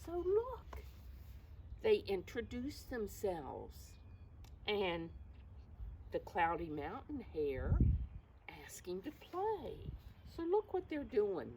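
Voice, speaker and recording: A woman's voice: mid-range voice, wildly swooping pitch, background noise. A woman reading a picture book aloud to young children, explaining it in simple phrases and asking the listeners questions. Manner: slow, simple phrasing that addresses small children.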